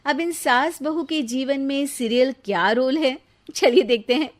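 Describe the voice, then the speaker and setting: A woman reading scripted narration for a cartoon moral story in an even storytelling manner. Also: high voice, unhurried.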